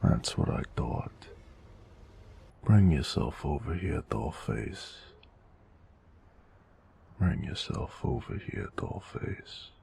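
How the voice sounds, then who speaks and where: A man's voice: expressive, slow, long pauses, mellow, slight background noise, full character voice. A man playing a weary, teasing, affectionate noir mobster in an intimate romance audio roleplay, murmuring and sighing in old-time gangster slang. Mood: tender, relaxed. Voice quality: very deep, gravelly, breathy murmurs.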